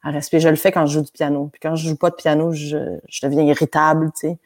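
A woman with a Québécois French accent and a somewhat low voice talks casually as an interview guest. She laughs often and trips over her own restarts as she goes. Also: level tone, fast talker, darkish tone. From Quebec. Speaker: guest pianist.

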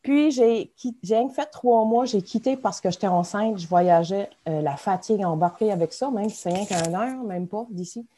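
A woman's voice: medium pitch, animated, moderate pace, few pauses, warm tone. Chatty Acadian woman in her forties. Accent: Acadian French. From Acadian Peninsula, New Brunswick. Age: 40s. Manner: chatty, rambling, laughs at herself. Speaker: podcast guest. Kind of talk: casual interview chat.

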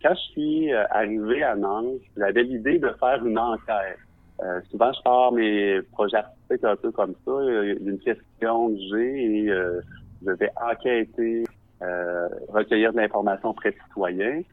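A man from Quebec with a Québécois accent, a visual artist telling his story for a podcast in a reflective, measured way, often pausing to search for words. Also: fairly low pitch, no room sound. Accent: Québécois French. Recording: narrow phone-like sound, dry.

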